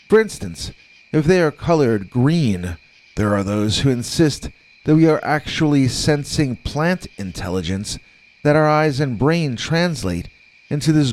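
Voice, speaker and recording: A man narrating a scripted first-person scary story in a measured, conversational storytelling manner. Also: mid-range voice, darkish tone, rough recording.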